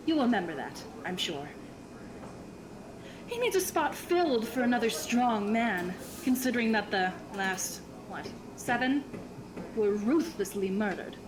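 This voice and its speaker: A woman's voice: fairly high pitch, sing-song, a little slow, a crowd in the background, poor audio. A woman playing a vampire lord's loyal, haughty minion in a scripted ASMR roleplay, speaking formally and persuasively with disdain. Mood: persuasive, aloof.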